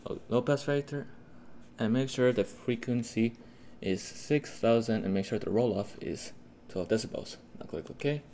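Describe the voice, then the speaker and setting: Gravelly man giving a casual software tutorial. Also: medium pitch, moderate pace. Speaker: tutorial host. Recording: steady noise behind the voice.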